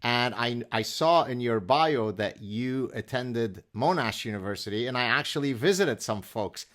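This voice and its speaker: A man's voice: mid-range voice, expressive, normal speed, fairly bright tone. Middle-aged male host asking polite questions. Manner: polite and inquisitive, occasional filler "uh". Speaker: podcast host and professor. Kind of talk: conversational interview.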